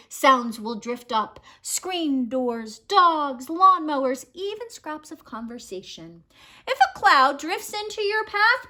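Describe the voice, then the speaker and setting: High-pitched, animated woman reading a storybook. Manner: animated, expressive storytelling with drawn-out words. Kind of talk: children's picture book read-aloud. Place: small home room, indoors.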